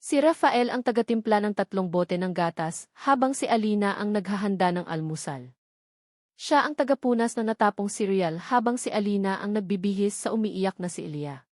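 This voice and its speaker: Filipino female storyteller for dramatic romance narration, medium pitch, very dry close sound. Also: lively intonation, moderate pace, dry recording.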